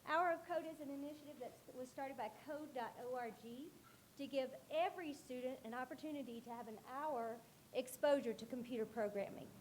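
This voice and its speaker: A woman school librarian giving a presentation to a board meeting, with a loud, carrying voice and an earnest, explanatory manner. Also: sits high, moderate pace, a little hiss or hum, poor audio.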